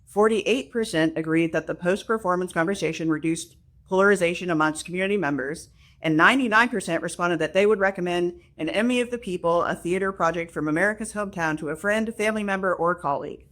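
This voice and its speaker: Low-voiced woman mixing script and asides. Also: fast talker, a bit bright. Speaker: library assistant director. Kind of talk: reading prepared remarks with casual asides.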